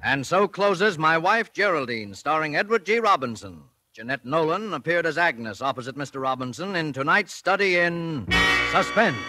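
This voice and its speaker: A man's voice: fairly high pitch, expressive, moderate pace. A man announcing credits on a 1940s radio program in a scripted style. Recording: 1940s radio recording with music.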